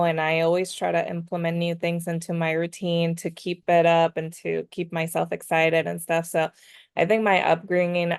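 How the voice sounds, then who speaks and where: Low, creaky, smooth young woman. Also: no room sound, clean studio sound. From Dominican Republic. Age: 30s. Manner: switches between English and Spanish. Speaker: podcast guest, clothing brand owner. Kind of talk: casual personal storytelling.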